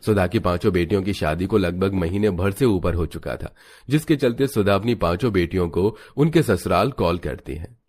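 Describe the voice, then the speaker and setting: Low-voiced male cartoon narrator. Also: moderate pace. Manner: steady, explanatory. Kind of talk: scripted storybook narration.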